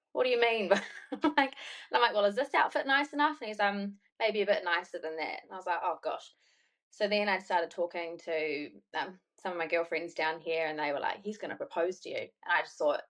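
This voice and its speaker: A young woman from New Zealand with a New Zealand accent, a podcast guest chatting loosely and telling a story, full of fillers. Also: fairly low pitch. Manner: chatty, rambling, many fillers and "like". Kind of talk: casual storytelling interview.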